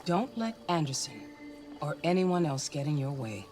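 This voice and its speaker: An actress playing a cold, controlling superior in a video game, speaking in a measured, stern and coolly menacing way. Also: female voice, deep voice, big pitch swings, dark tone, faint music behind. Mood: cool, probing. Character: cold, controlling woman superior pressuring her android agent. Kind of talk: dramatic video game acting.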